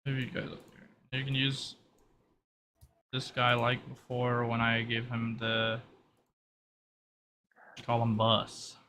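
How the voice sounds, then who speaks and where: Casting: male game master, mid pitch, unhurried fragmentary casual narration, lightly noisy recording. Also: completely flat delivery, bright, forceful tone. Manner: halting, fragmentary, offhand. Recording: faint background noise, room ambience.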